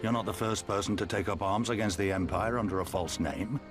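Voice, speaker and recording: A man acts out an older resistance commander in a fantasy game, proud, wry and tired. His low voice moves in measured, blunt phrases with folksy turns. Music plays clearly behind him. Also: close to an everyday voice. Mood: gentle, consoling. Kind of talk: video game cutscene acting.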